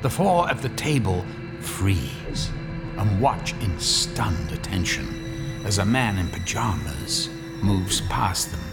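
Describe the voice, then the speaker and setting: A man playing the grave narrator of a radio ghost drama, reading in a measured, portentous, suspenseful way. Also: lowish voice, big pitch swings, gravelly, exaggerated voice. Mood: ominous. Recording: loud music behind the voice.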